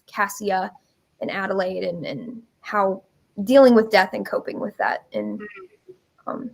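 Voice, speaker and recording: A woman's voice: medium pitch, measured pace, creaky, a little mellow, low-quality recording. A woman telling a story in casual, informal chat as an interview guest, with a giggly manner. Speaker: guest presenting a musical.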